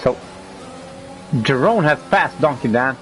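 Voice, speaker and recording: A man with a cold commentating a sim racing league broadcast, rising in pitch when the action heats up. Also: animated, measured pace, a bit bright, slight background noise. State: has a cold. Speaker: race commentator.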